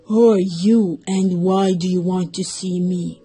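Storybook elephant, played by a woman: huge arrogant male, husky, loud delivery. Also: lowish voice, fairly even intonation, measured pace, soft background music, pitched below the performer's own voice. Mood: haughty. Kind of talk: children's storybook reading.

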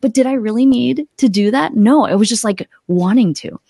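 A woman's voice: fairly high pitch, normal speed, gravelly, mellow, breathy edge, no echo, studio quality. A middle-aged woman talking casually as a podcast guest, telling her experiences and voicing her own thoughts. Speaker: podcast guest, accountability coach. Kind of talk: casual conversational interview. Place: small home room, indoors, video call. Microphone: close desktop podcast microphone.

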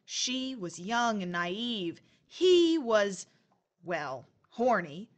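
An actress playing a cool young agent of the afterlife, patronizing and mock-soothing, in an audio horror drama. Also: female voice, mid-range voice, very animated, extremely slow. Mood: taunting.

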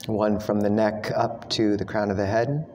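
A man teaching a guided meditation, speaking slowly and gently with long pauses. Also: on the low side, little pitch movement. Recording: echoey hall with long reverb, background noise.

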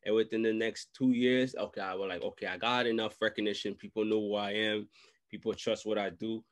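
Man, low voice, interview host, casual, slangy, repetitive for emphasis. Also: flat delivery, fairly quick. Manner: slangy, repeats phrases for emphasis. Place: small room at home, indoors. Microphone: large desk microphone close up, video call.

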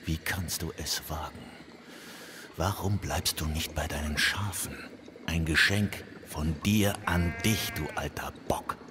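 A man playing a furious, foul-mouthed, commanding boss of hell in a German radio play. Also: unhurried. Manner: menacing, cursing, commanding. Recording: noisy, long booming reverb.

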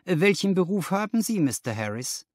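A man voicing a haunted male company boss in an audiobook, strained and halting, forcing politeness and cheer. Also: high voice, sing-song, dry recording, studio quality, pitched above the performer's own voice. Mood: forced cheer. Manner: halting, repetitive, forced cheer.

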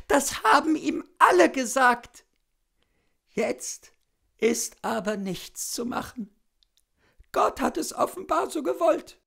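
Man voicing a plaintive dying gentlewoman, pitched high. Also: creaky, husky, full character voice. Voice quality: weak, plaintive. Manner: fervent, dramatic lamenting. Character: dying gentlewoman, pious, self-pitying, fretful and reproachful.

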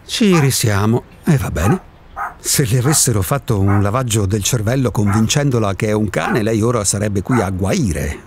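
A man's voice: lowish voice, lively intonation, normal speed, background noise, lightly acted. An actor in a radio drama playing an eccentric, solitary man who insists he does not exist, philosophizing in a provocative, impatient way. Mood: insistent. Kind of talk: Italian radio drama acting.

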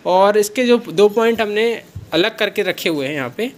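A man's voice: sits high, animated, moderate pace. A man, showing off a product on camera in casual talk, with many fillers and self-corrections. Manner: filler-heavy, repeats "kya" questions to himself, self-corrects. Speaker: seller demonstrating a product. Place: small room, indoors.

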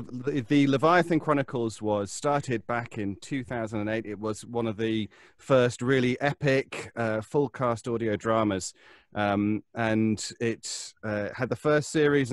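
A man's voice: mid-range voice, unhurried, no echo. A man speaking casually as a podcast panelist, promoting audio drama on a live call. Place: indoors, on a video call.

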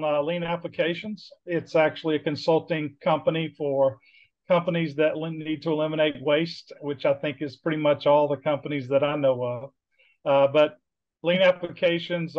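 Middle-aged Southern man on a video call. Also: on the high side. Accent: Southern US, Georgia. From Georgia, USA. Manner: friendly, rambling with many fillers. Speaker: guest, business consultant. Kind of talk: casual interview talk. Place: home office with bookshelves. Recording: dull lo-fi video call audio.

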